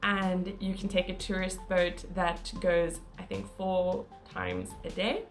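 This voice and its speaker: A woman's voice: on the low side, little pitch movement, slow pace, soft background music. A woman narrating her travel vlog in a casual, chatty way, with small laughs and fillers. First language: not Croatian. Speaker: travel vlogger.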